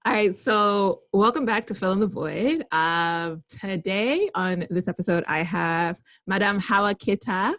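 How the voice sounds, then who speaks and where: A woman's voice: medium pitch, unhurried, few pauses, fairly bright tone. An upbeat young woman runs a casual interview and laughs easily. Her voice sits in a mid range and sounds dry and close, with little room echo. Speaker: podcast host.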